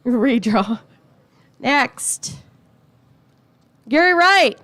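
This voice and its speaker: A woman with a high voice announcing a raffle to a gathered crowd, playful and teasing as she reads out ticket numbers slowly. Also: lively intonation, a bit bright. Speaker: raffle announcer at a race event.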